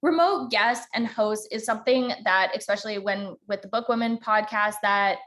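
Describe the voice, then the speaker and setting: A woman with a slight central Alberta accent teaches in a relaxed, informal way. She talks quickly and fills her pauses with plenty of ums. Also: mid-range voice. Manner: fast talker, many ums. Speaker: podcast host giving a workshop.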